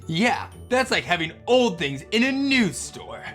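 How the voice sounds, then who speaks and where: A man co-hosting a children's read-aloud with playful comic banter and exaggerated, excitable reactions. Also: sits high, a little slow, faint music behind. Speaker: children's book reader and comedy co-host.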